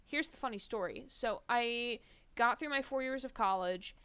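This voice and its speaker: A woman's voice: fairly high pitch, moderate pace, fairly bright tone, clean tone, no echo. A young woman from New Jersey, a streamer chatting casually and rambling while she games. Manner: chatty, rambling, many fillers. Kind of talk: casual chat while gaming.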